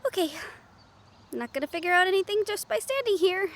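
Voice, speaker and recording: A woman's voice: very high-pitched, lively intonation, quick pace. An actress playing an anxious, sweary young woman haunted by a ghost, exasperated and conversational in an audio roleplay. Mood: wary, firm.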